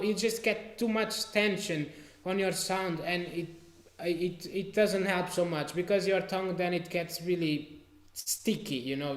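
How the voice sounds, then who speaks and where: Slow-spoken Portuguese man, roomy sound. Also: high voice. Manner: relaxed, halting, sings out note syllables to demonstrate. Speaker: trombone teacher giving a masterclass. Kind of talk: online masterclass. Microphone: video call mic.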